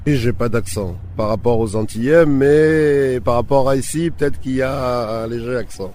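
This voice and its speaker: A man from French Guiana answering a street interviewer in a relaxed, unhurried way. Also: medium pitch, a little hiss or hum. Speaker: passer-by. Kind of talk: street vox pop answer.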